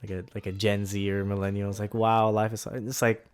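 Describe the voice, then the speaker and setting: A man's voice: on the low side, level tone, a little fast, smooth. Laid-back young man chatting. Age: 20s. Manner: laid-back, rambling, laughs often. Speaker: podcast co-host. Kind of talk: casual chat.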